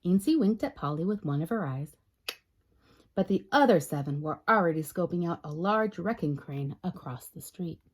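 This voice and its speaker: Animated woman reading a children's story. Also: on the low side, slightly rough. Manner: expressive, pauses to question young listeners. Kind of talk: children's read-aloud narration.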